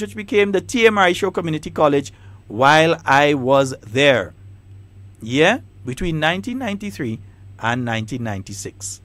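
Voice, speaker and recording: A talk radio host with a mix of Trinidadian and Grenadian accent hammers his points home, repeating them for emphasis. His voice sits a little high. The studio sound is dry and close. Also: a bit bright, no echo. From Trinidad and Tobago. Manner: emphatic, repetitive, combative.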